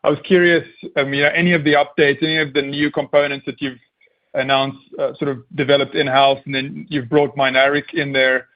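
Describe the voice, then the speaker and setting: A man's voice: mid-range voice, flat delivery, a little fast. A man speaking as a financial analyst, asking questions on an earnings call in a polite, tentative way with hedged phrasing. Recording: slightly dull conference call audio.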